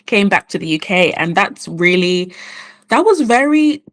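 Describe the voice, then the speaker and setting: Thoughtful, unhurried woman guest. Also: lowish voice, sing-song. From born in the UK, raised in Ireland and England. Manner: thoughtful, unhurried, with frequent restarts. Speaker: guest, cartoonist. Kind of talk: reflective conversational interview.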